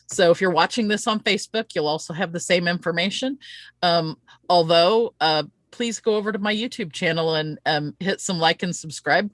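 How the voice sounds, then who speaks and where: A woman's voice: on the low side, a bit bright, no echo. A woman hosting a casual promotional live-stream interview, speaking in an earnest, chatty and rambling way. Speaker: host of an online interview show.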